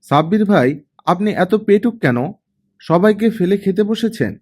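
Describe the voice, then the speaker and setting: A man's voice: high voice, expressive, unhurried, a little mellow, pitched above the performer's own voice. A man voicing an earnest, naive teenage girl who speaks with insistent, childlike directness in an audiobook. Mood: blunt.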